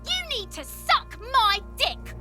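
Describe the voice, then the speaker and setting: A woman's voice: big pitch swings, slow, over loud music, exaggerated voice. A woman voicing a foul-mouthed, jeering boy who taunts police in a video game. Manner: shrill, jeering, swearing.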